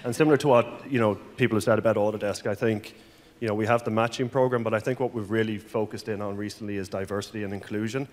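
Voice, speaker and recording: Irish guy in his 40s, lowish voice, chatty, echo of a big hall. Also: steady tone, fast talker, darkish tone. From Ireland. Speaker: panelist, senior director. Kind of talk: conference panel introduction. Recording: long hall reverb through PA.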